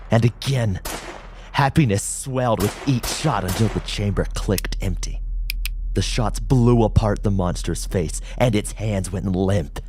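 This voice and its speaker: A man's voice: deep voice, background noise. A man reading horror fiction as a dramatic, suspenseful narrator who often drops toward a whisper.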